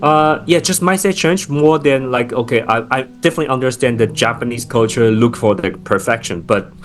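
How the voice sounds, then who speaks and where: A man's voice: mid-range voice, a little fast, slight background noise. Taiwanese-accented man, founder guest. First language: Chinese. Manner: thoughtful, many fillers and restarts. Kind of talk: podcast interview.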